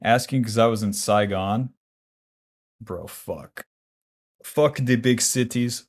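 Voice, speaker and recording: A man's voice: mid-range voice, slow pace. A man in his twenties streaming a game, talking casually and bluntly, cursing freely and answering chat questions aloud. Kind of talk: casual livestream chat while playing.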